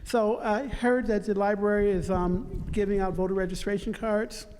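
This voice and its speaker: A man speaking up at a community meeting, direct and animated. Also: high voice, normal speed. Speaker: community participant. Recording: reverberant hall with faint noise.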